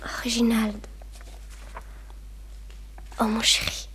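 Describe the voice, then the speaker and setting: A woman's voice: fairly high pitch, monotone, takes it slowly, fairly bright tone, a little hiss or hum. An actress playing a trusting, lovestruck young society woman in a French radio play, with a tender, melodramatic manner. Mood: adoring, pleading.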